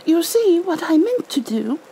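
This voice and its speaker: A woman's voice: very high voice, sing-song, unhurried, mellow, voice pushed up, exaggerated voice. A woman voicing a naive, earnest, honey-loving little male bear from a storybook, musing in a singsong way. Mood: flustered, rueful. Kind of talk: storybook read-aloud character voice. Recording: faint background noise.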